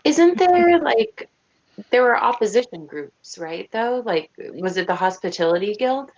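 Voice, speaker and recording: A woman's voice: on the high side, expressive, unhurried, a little mellow, lo-fi sound. A woman chatting casually as a player in an online tabletop fantasy game. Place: online voice call.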